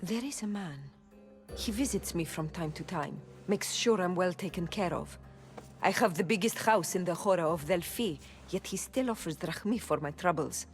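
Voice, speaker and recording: A woman playing a guarded, troubled oracle of ancient Greece in a video game, speaking solemnly and deliberately. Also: fairly low pitch, faint music behind, natural voice. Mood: wary. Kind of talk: dramatic video game acting.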